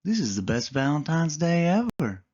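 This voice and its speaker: A man's voice: a little high, big pitch swings, moderate pace, darkish tone, breathy edge, highs cut off, voice pushed up. A man voicing a cheerful, friendly young girl cat in a raised voice. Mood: warm.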